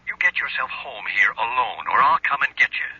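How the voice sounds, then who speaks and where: A man's voice: medium pitch, animated, brisk, crowd noise, natural voice. An actor playing a stern, worried, domineering middle-aged senator and father in a 1970s radio drama, with a blustery, authoritative manner. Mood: angry, commanding. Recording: dull, band-limited vintage radio recording.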